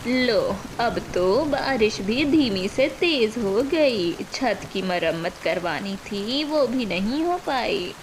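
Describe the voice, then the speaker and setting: Woman acting slow, fretful older mother-in-law. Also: fairly high pitch, expressive, slight background noise, rough recording. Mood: resigned. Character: older self-blaming mother-in-law, poor, apologetic and worried.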